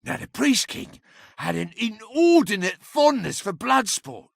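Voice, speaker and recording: An actor voicing an ancient, talkative magical staff in a video game, sardonic, pompous and bawdy, with a theatrical delivery. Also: male voice, high-pitched, big pitch swings, a little slow, vocal fry, no echo, studio quality, full character voice. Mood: wry storytelling. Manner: sardonic, pompous, chatty, given to chuckling. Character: impish, talkative, bawdy ancient sentient staff, boastful adviser.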